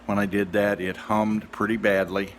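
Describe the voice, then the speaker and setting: A man giving a casual, unscripted demonstration as a hobbyist restorer, speaking haltingly with many pauses. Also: fairly low pitch, level tone, a little hiss or hum. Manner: halting, hesitant, with trailing pauses.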